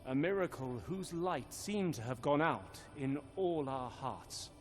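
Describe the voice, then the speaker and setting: Solemn teller of sacred legends. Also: male voice, fairly high pitch, animated, measured pace, faint music behind. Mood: sorrowful, reverent. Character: solemn man recounting a sorrowful legend of faith. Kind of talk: dramatic video game narration.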